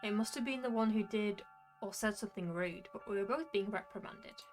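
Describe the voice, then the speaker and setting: A woman narrating a visual novel as a wry, reflective young male jester, in measured storytelling. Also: mid-range voice, faint music behind, close to an everyday voice. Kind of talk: visual novel first-person narration read aloud.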